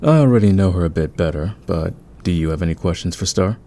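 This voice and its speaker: A man in an audio roleplay playing a friendly, easygoing thief with a relaxed, encouraging, conversational manner. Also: sits low, quick pace, a little hiss or hum. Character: friendly, easygoing male thief, curious about teammates.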